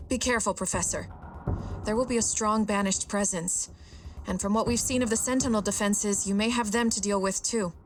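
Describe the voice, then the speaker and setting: Lady doing a careful sci-fi ship advisor for a game, soft bit of music under. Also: mid-range voice, fairly even intonation, fairly quick, close to an everyday voice. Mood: concerned. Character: careful, helpful female military ship's advisor. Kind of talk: dramatic video game acting.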